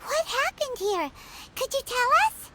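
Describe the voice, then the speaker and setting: A tiny, inquisitive fairy girl from a video game, squeaky and childlike. Her melody swings widely while the pace stays unhurried. She sounds curious and excitable. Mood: concerned. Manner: inquisitive, refers to self in third person. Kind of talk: video game character acting. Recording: loud game music behind. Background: game music.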